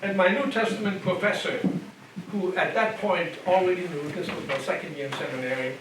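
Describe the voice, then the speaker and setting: A man's voice: high-pitched, fairly quick. Older German-accented man in echoey hall. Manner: conversational, repeats and restarts phrases, asks the room "okay?". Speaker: lecturer. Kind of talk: church adult education lecture. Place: church hall. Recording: very echoey hall, distant mic, background noise.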